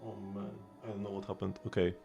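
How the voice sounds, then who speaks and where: A man's voice: lowish voice, level tone, fast, gravelly, dark tone, husky, lo-fi sound. A man live streaming a video game, chatting casually and informally as he rambles along and answers viewers. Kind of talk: casual gaming stream chat. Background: game music.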